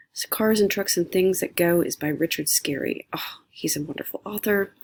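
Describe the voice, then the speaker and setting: Casting: woman, husky with vocal fry, chatty homeschool-mom reviewer, unscripted, thinking aloud as she goes. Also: lowish voice. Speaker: homeschooling mother reviewing curriculum. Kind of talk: casual unscripted screencast review. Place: indoors, recording a screencast at a computer.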